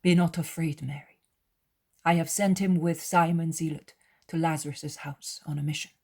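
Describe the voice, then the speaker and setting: A woman voicing Jesus as a calm, solemn, loving master, with measured and gently authoritative speech. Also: sits low, gravelly. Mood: reassuring, solemn.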